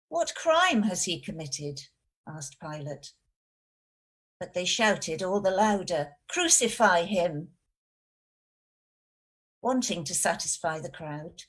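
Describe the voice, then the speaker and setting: A woman reading scripture aloud as part of a church service. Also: medium pitch. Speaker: scripture reader.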